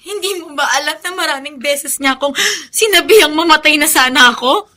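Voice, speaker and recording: Shrill, bitter Filipina daughter. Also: female voice, fairly quick, bright, forceful tone. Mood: bitter, accusing. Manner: tearful, accusing, melodramatic outbursts. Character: bitter, despairing young daughter who rejects her mother. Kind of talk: Filipino radio drama acting. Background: dramatic music.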